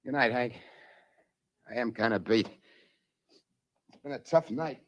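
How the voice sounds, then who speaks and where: An actor playing a weary, shaken test pilot in a 1950s radio drama, plain-spoken and drained. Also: male voice, mid-range voice, unhurried, lightly acted. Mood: worn out.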